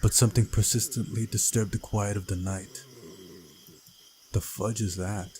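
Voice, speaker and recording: A man's voice: on the low side, fairly even intonation, moderate pace, dark tone, slight background noise. A man reading a visual novel aloud as narration, with casual side comments and an occasional stumble over the text.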